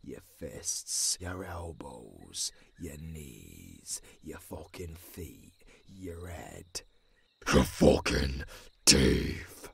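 A man with a British accent playing a tough old werewolf mentor in an audio roleplay, gruff but caring, with a growling, raspy voice and a commanding manner. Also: rumbling low, big pitch swings, full character voice. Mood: intense coaching. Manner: slow, deliberate, commanding.

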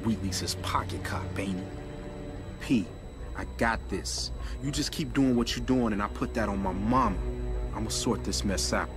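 An actor playing a defiant young streetwise hustler in a video game, slangy and insistent. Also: male voice, lowish voice, normal speed, lightly acted. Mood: determined. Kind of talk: video game cutscene acting. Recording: loud music behind the voice.

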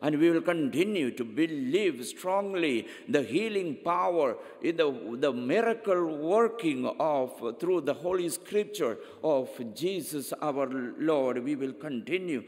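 A middle-aged man preaching a homily as a priest from a church pulpit, speaking deliberately and often repeating his phrases. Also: a little high, animated. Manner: slow, deliberate preaching with frequent repetitions. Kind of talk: sermon. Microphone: pulpit microphone, far camera. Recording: long church reverb.

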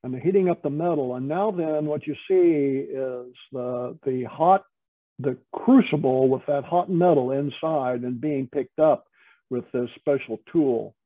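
A man giving an informal, explanatory slide presentation as an instructor, halting and often repeating words. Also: mid-range voice, no echo. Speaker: metalworking studio lead, instructor. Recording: tinny, narrow phone-like call audio.

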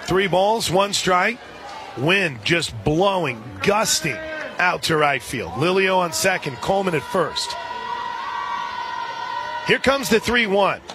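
A man doing live radio play-by-play of a softball game in a conversational way, with folksy asides. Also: high voice, very animated, slow, pauses often. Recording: crowd noise and music behind. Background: ballpark crowd and music.

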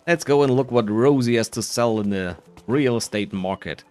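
A man's voice: mid-range voice, moderate pace, darkish tone, faint music behind. A man giving casual commentary over a video game playthrough. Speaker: host of an edited game playthrough.

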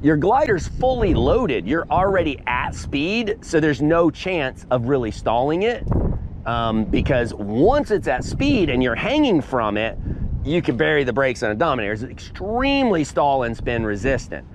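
A man's voice: a little high, very animated, normal speed, a little hiss or hum. A man instructing a paramotor class outdoors on a sandy beach, explaining gear emphatically with punchy asides, recorded on a head-mounted camera. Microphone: head-mounted camera mic.

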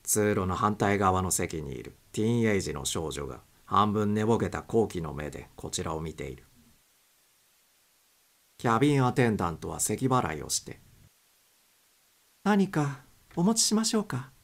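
A man with a low-pitched voice narrates a suspense story. He reads from a script as an audiobook narrator, his voice sitting deep in the male range. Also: animated, moderate pace, vocal fry.

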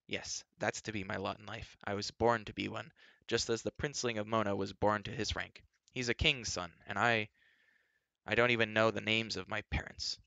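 A man's voice: fairly low pitch, flat delivery, normal speed, creaky, pushed and bright, no room sound. A man voicing a resentful, hot-headed young pig keeper in a storybook, muttering and bitter. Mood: sulky, bitter. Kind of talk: audiobook character voice.

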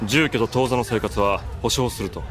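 A man's voice: mid-range voice, normal speed, a bit bright, faint music behind, lightly acted. An actor playing a cool, sardonic male army colonel in an anime audio drama, dry and clipped in command. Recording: busy outdoor crowd ambience behind.

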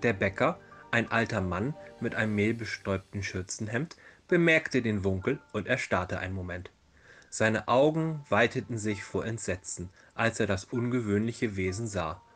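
A man's voice: on the low side, a bit bright. A man narrating a fairy tale as a storyteller in a dramatized reading. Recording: music behind the voice. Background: background music.

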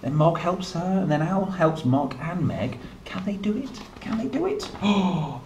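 A man in his thirties reading a picture book to young children, playful and expressive, with chatty asides. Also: high-pitched, unhurried. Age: 30s. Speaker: storyteller, host reading children's books. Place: indoor room, seated at a table. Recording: roomy echo, some background noise.

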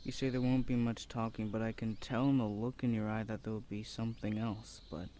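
A man's voice: lowish voice, level tone, a little fast, dark tone, a little hiss or hum, close to an everyday voice. A man playing a shy, flustered young male university student in an ASMR roleplay, stammering and speaking in a hesitant, awkward, earnest way. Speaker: voice actor. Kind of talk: ASMR boyfriend audio roleplay.

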